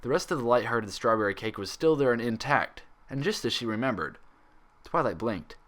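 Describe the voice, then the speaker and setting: A man reading a story aloud as an expressive narrator. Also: mid-range voice, a little fast. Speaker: fan fiction reader.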